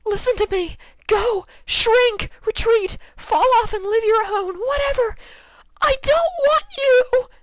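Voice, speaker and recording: A man's voice: very high voice, takes it slowly, fairly bright tone, no echo, pitched above the performer's own voice, exaggerated voice. A man voicing a frantic, exasperated female pony in a horror story, with emphatic outbursts. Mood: furious, shouting.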